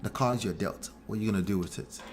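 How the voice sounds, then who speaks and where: A man from Nigeria, co-hosting a casual relationship chat in an animated, blunt way, repeating phrases for emphasis. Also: lowish voice, fast talker, faint music behind. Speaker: talk show co-host.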